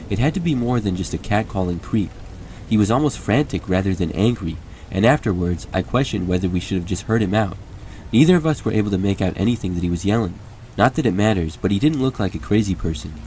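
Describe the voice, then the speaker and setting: A man narrating first-person horror stories in a steady, even storytelling delivery. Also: on the low side, slight background noise, rough recording.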